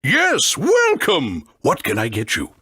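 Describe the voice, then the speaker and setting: Low, husky, singsong cook. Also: female voice, wildly swooping pitch, takes it slowly, sharp tone, dry recording, clean studio sound, heavily acted. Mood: polite, regretful. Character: polite, regretful bistro cook. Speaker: video game character. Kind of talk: English game dub.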